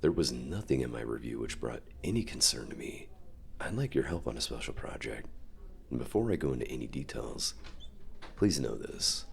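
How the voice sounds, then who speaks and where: A man playing a suave, dominant company CEO in an ASMR roleplay, speaking to the listener with measured, polite, quietly controlling reassurance and deliberate pauses. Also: rumbling low, very animated, vocal fry, slight background noise, full character voice. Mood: measured, approving. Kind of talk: ASMR roleplay acting, addressing the listener directly.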